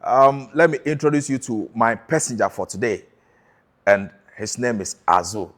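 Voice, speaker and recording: A man's voice: mid-range voice, moderate pace, fairly bright tone. A man hosting a street-interview show, introducing it and asking questions with emphatic, repeated phrasing. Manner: emphatic, repeats lines for effect. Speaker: host and interviewer.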